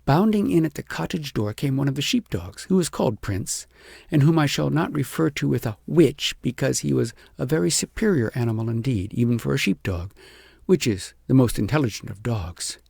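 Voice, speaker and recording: Man, audiobook narrator, expressive story reading, lively swooping intonation, heavy vocal fry, close dry sound. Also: medium pitch, fairly quick, no room sound.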